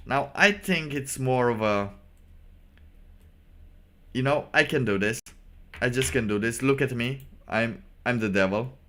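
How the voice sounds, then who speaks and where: A man hosting a casual solo livestream, giving sardonic commentary in a halting way and repeating phrases for emphasis. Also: fairly low pitch, a bit bright. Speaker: livestream host.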